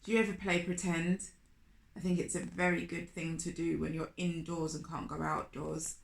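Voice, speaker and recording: A woman reading a picture book aloud to young children, animated and speaking to them directly with questions. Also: on the low side, moderate pace, creaky. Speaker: children's storyteller, host of a storytime series.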